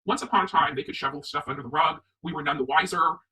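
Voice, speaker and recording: A woman's voice: sits low, fast, pushed and bright, far from the mic, poor audio. A woman from the United States hosting opinionated livestream commentary, speaking emphatically and repeating her points for stress. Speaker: online commentary show host.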